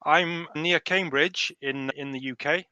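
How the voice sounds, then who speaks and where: A man's voice: on the high side, fairly even intonation, a little slow, pushed and bright, no room sound, dull audio. A man in his fifties with a Welsh accent, chatting as a guest on a call and telling stories with chuckles and restarts. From South Wales. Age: 50s. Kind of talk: casual remote interview.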